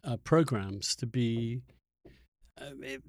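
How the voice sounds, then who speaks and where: A man hosting a conversational interview, thinking aloud in a halting, searching way and often repeating words as he goes. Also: mid-range voice, dry recording, studio quality. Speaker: podcast host interviewer.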